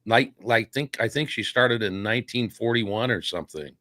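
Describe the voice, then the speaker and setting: A man hosts a casual interview with a fairly low voice and friendly, short reactions. His sound is dry and close, with no room ring. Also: normal speed, sharp tone, no room sound. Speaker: podcast host.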